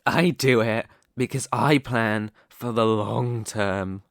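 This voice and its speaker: Slow, condescending demon king, acted by a man. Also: on the low side, vocal fry, no echo, clean studio sound. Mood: disdainful. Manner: deliberate, condescending, menacing. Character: arrogant immortal male demon king, cold, calculating, domineering. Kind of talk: ASMR roleplay monologue.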